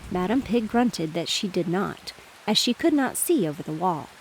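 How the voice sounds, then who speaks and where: A woman's voice: lowish voice, lively intonation, moderate pace, low-quality recording. A woman reading a bedtime storybook aloud as a scripted narration. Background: rain, thunder and soft hiss.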